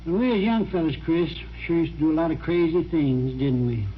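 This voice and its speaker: Man playing a persistent small-town fellow, folksy, fairly high pitch, old radio drama, muffled sound. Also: animated, fairly quick, natural voice. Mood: casual, nostalgic. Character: determined, plain-spoken, folksy small-town man, no longer young. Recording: muffled, slightly noisy vintage radio recording.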